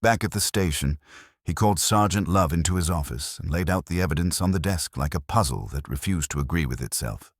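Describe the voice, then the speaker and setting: A man's voice: low voice, expressive, fairly quick, no room sound, clean studio sound. A man reading scripted narration for a mystery story.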